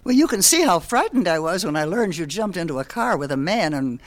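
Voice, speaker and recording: An actress playing a caring social worker in a radio drama, patient, earnest and reassuring. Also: female voice, lowish voice, big pitch swings, brisk. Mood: concerned.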